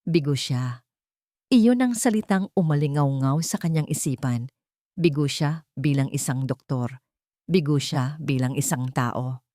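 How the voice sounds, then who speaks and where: A woman with a Filipino accent reading a dramatic romance story in a measured, solemn way. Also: low voice, mellow, dry recording, clean studio sound. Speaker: story narrator.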